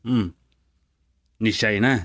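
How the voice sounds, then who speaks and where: A man's voice: lowish voice, fairly even intonation, slow pace, fairly bright tone. An actor playing a teasing young male buddy in a radio drama, with an Ilocano accent. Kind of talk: Filipino radio drama acting.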